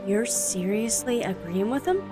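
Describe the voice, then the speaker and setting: A woman's voice: medium pitch, sing-song, a little slow, over music. A young woman playing a skeptical but easygoing college student in an amateur audio drama, speaking conversationally with a sardonic edge. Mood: sarcastic.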